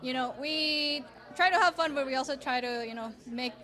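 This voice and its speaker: A woman's voice: high-pitched, normal speed, crowd noise. A young woman from Costa Rica, an art teacher, chatting enthusiastically in an interview at an art gallery, laughing often. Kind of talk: casual interview chat.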